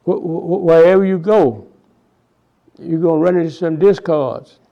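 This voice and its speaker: A man in his nineties from Texas with a Southern accent, recalling his life in a halting oral history interview full of restarts and repeated words. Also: on the high side, creaky, dull audio. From Houston, Texas. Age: 90s.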